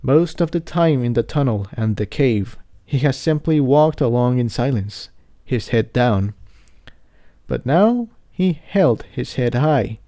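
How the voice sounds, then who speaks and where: A man reading an adventure story aloud as narrator, in a measured, earnest way. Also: mid-range voice, expressive, dark tone, no echo, clean studio sound. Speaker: amateur fan fiction reader.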